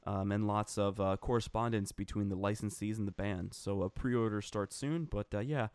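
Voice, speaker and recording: A man's voice: fairly low pitch, normal speed, a little mellow, clean tone, dry recording. A man co-hosting a casual podcast chat, eager and full of fillers. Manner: enthusiastic, filler-heavy. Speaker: podcast co-host.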